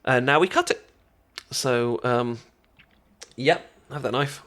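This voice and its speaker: A man's voice: medium pitch, slow pace, a bit bright. A British man acting a shy, affectionate best friend in an ASMR romantic roleplay, hesitant, stammering and tender. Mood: gentle, instructing. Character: shy, affectionate boyfriend-to-be best friend teaching sushi making.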